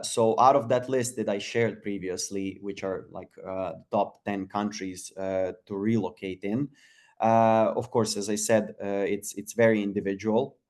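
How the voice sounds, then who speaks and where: A man from Croatia gives a casual webinar talk, pausing often with fillers and restarts. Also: on the low side, darkish tone. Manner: halting, many fillers and restarts. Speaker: webinar speaker.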